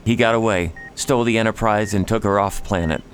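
A man's voice: on the low side, fairly quick, slight background noise, lightly acted. An actor playing a nobleman's loyal friend, earnestly explaining bad news in a science fiction audio drama. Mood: concerned.

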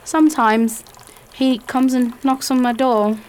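A frightened young woman stranded far from home, anxious and pleading, as a young actress plays her. Her voice sits a little high, with a faint hiss behind it. Radio play acting. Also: fairly even intonation, a little slow, darkish tone. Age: young adult. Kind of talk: amateur radio drama acting.

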